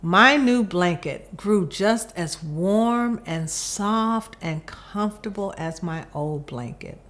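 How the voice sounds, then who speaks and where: A woman reading a children's picture book aloud, expressively and with deliberate pauses between phrases. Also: medium pitch, a bit bright. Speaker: volunteer storybook reader.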